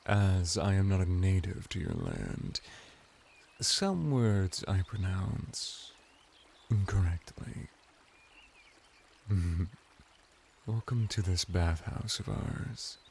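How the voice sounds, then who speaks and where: Casting: male actor as seductive merman bath servant for ASMR roleplay; deep, slow, teasing and deferential. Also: creaky, darkish tone, a little hiss or hum, exaggerated voice. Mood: courteous, teasing. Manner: unhurried, teasing, soothing, deferential. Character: flirty, seductive, servile adult merman bathhouse attendant.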